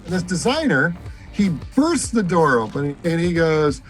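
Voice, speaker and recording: A man on an interview show tells a lively anecdote and acts out the characters' lines as he goes. His voice sits a little higher than average. Faint music runs underneath. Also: measured pace. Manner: animated storyteller acting out quotes. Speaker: interview guest. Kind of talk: anecdote telling.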